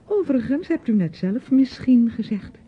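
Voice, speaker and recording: An actress playing a sensible young niece in a radio drama, patient and gently probing. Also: female voice, a little high, lively intonation, moderate pace, breathy edge. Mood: questioning. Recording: muffled, noisy old radio play recording.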